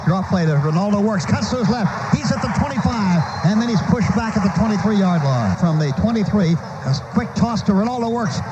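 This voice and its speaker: A man's voice: sits high, lively intonation, fairly quick, few pauses. An American man doing live radio play-by-play of a football game, calling each play in clipped present-tense phrases. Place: stadium broadcast booth. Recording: muffled, noisy old radio broadcast.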